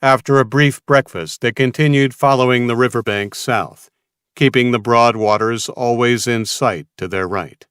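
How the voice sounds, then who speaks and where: A man's voice: mid-range voice, normal speed, fairly bright tone, clear, resonant tone, dry recording, studio quality. A man reading a scripted story as a dramatic audiobook narrator.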